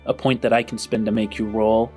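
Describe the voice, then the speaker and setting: A man narrating a tabletop roleplaying game as game master, with dramatic pauses and deliberate phrasing. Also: on the low side, little pitch movement, warm tone, soft background music.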